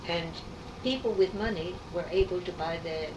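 A woman in her eighties, raised speaking French, telling her life story in an unhurried, reminiscing way for an oral history interview. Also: lowish voice, muffled recording. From New York City. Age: 80s. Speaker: artist interviewee. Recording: roomy, distant, noisy tape.

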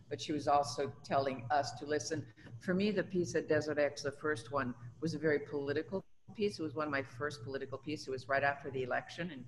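A woman in her seventies from Tunisia, an artist talking conversationally about her own life and work in an interview. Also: sits low. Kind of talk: conversational personal recollection. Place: indoors in an art studio. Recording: reverberant room, faint background noise.

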